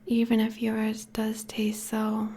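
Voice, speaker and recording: A woman playing a possessive, teasing yet tender vampire girlfriend in an intimate, whispery ASMR roleplay, speaking softly and coaxingly. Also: fairly high pitch, completely flat delivery, a little hiss or hum. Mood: loving, intimate. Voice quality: breathy, whispery. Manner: slow, soft, coaxing.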